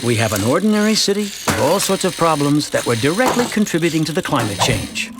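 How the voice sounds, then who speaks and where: Middle-aged male as an earnest suburban dad narrating a cartoon short. Slightly high, lively pitch, chatty delivery. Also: fairly quick, very noisy. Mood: upbeat, proud. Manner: conversational, expressive storytelling. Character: chatty, earnest suburban family man telling his town's story. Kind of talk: scripted first-person narration for an animated educational short.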